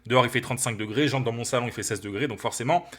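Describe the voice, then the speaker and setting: A man with a cold hosting a football channel, talking to viewers in an animated, opinionated, casual way from his living room. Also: medium pitch, racing. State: has a cold. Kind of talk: casual opinion commentary to camera.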